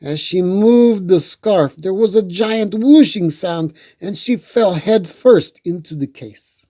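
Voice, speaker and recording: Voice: medium pitch, slow, mellow. A storyteller reading a children's picture book aloud in a playful way, with chatty asides. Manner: playful, acts out dialogue.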